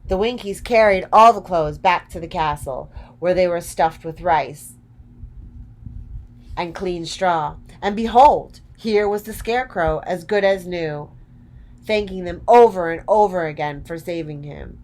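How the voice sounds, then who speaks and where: A woman's voice: fairly low pitch, unhurried, darkish tone, low-quality recording. A woman storyteller narrating a children's storybook expressively.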